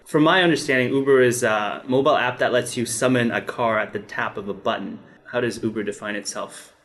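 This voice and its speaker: A man's voice: mid-range voice, fairly quick, a bit bright. A man speaking as a podcast host, introducing the show and putting questions to a guest. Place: sit-down interview in Shenzhen.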